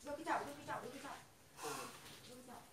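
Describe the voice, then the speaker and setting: A woman outdoors at a muddy pond, rapidly calling out instructions. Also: high voice, fairly even intonation, echoey room, far from the mic. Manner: urgent, rapid shouting of instructions. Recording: noisy outdoor phone recording.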